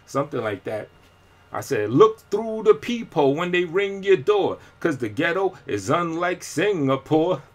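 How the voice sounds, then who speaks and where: A man's voice: on the high side, big pitch swings, a little slow. Rambling, slangy male vlogger. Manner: rambling, slangy, frequent fillers and restarts. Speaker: independent rapper and vlogger. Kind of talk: casual live-stream talk.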